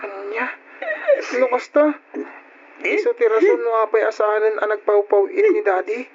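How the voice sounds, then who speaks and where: A man's voice: high voice, fairly even intonation, moderate pace, husky. A man playing a hurt, indignant young son in old radio drama style, plaintive and insistent, on a muffled recording. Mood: shocked, questioning.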